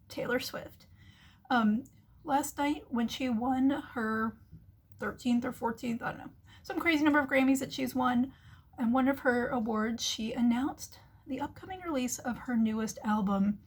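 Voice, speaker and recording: A woman's voice: high-pitched, moderate pace, husky. A woman giving casual, chatty explanatory business commentary as the host, acting out little imagined remarks as she goes. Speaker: host of a marketing commentary show.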